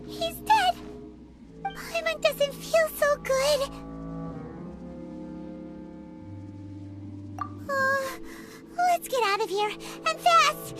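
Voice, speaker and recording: Casting: tiny chatty game fairy, extremely high squeaky childlike voice, excitable and timid, unhurried pace, music behind. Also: female voice, heavily acted. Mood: nervous, then alarmed. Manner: refers to self in third person, exclamatory. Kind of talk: video game voice acting.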